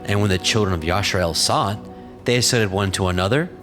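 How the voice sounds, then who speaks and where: A man's voice: lowish voice, fairly quick. A man narrating scripture from a script in a solemn, formal storytelling manner. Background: prominent music.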